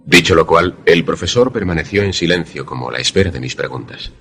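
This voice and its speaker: Man as deep, husky suspense storyteller. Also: animated, sharp tone, heavily acted. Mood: measured, suspenseful. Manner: measured, literary, formal. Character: inquisitive male visitor narrating an eerie tale, polite, sceptical. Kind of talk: suspense radio play narration and dialogue.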